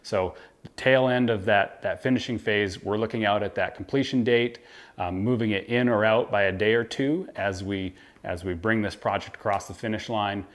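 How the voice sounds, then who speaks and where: An American man in his thirties giving an informal, measured explainer to camera as a renovation contractor. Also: on the low side. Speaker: home renovation contractor presenting. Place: indoor kitchen.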